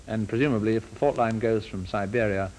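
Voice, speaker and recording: A man interviewing formally on a 1950s broadcast, with measured, deliberate and probing questions. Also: fairly low pitch, fairly even intonation, darkish tone. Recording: noisy 1950s broadcast recording.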